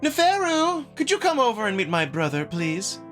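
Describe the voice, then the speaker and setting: A man's voice: high-pitched, huge pitch swings, normal speed, fairly bright tone, faint music behind, pitched above the performer's own voice, heavily acted. A man voicing a haughty, playful young female wolf noble in a theatrical, sing-song visual novel reading. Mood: poised, sociable.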